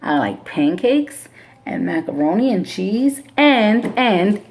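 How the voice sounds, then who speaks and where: A woman teacher reading a picture book aloud to a class in a slow, expressive storybook manner, then giving classroom instructions. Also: medium pitch, slight background noise.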